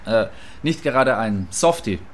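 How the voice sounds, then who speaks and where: A man's voice: mid-range voice, animated, measured pace, lightly acted. A man playing a stubborn, provocative radio field reporter in a radio play, musing hesitantly yet insisting on his view. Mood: musing, defensive. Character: opinionated male field reporter, stubborn, quietly admiring, provocative.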